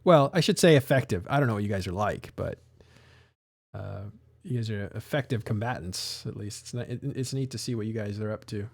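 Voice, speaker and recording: A man's voice: lowish voice, brisk, vocal fry, dry recording, clean studio sound. Rambling man hosting a live stream. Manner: rambling, many restarts and fillers. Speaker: live show host. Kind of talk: casual live-stream chat.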